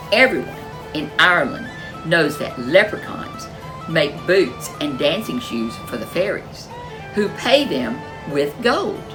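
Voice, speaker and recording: A woman storyteller reading a folk tale picture book aloud in a gentle, expressive way. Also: lowish voice, rough recording.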